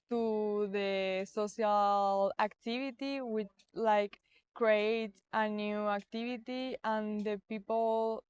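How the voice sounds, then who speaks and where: A woman's voice: mid-range voice, clear, resonant tone, dry recording. A 19-year-old woman from Spain answers an interview in a hesitant way, searching for her words. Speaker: exchange participant.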